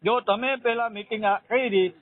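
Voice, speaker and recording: A thin telephone recording of a man with a distinctly high voice. He has a Saurashtra Gujarati accent and debates conversationally, repeating words and checking that the other person agrees. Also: fairly even intonation, unhurried. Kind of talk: argumentative phone conversation. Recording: tinny, narrow phone call recording.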